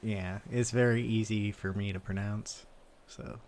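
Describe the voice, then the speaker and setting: A man's voice: on the low side, fairly even intonation, measured pace, darkish tone, slight background noise, close to an everyday voice. A man playing an awkward, easygoing guy in a roleplay game, who talks in hesitant, rambling loops and repeats himself. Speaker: roleplay character. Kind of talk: improvised roleplay chat.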